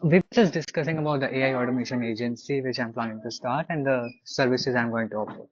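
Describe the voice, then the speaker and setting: Man, Indian accent, hesitant, halting, video call, dry muffled audio. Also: medium pitch, dry recording. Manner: hesitant, halting with many fillers. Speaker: consulting client.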